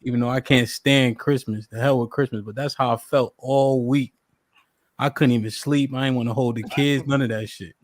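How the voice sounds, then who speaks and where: A man's voice: medium pitch, fairly even intonation, normal speed. A man hosting a casual live-stream talk show, loose and joking with slang. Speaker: podcast host.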